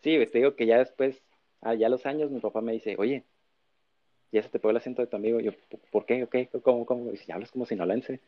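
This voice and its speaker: Man, Tijuana Mexican accent, rapid talk, muffled lo-fi recording, animated casual chat. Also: mid-range voice. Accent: Tijuana Mexican Spanish. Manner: animated, quick, acts out quotes. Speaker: podcast co-host. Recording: dull lo-fi sound.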